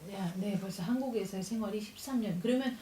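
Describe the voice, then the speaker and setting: A female radio host who talks extremely fast in a mellow, dark tone. She sits a little back from the mic in a roomy studio, and a faint hiss runs under her voice. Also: medium pitch, far from the mic. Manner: rapid, switches between languages, quick laughs. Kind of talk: bilingual radio show hosting.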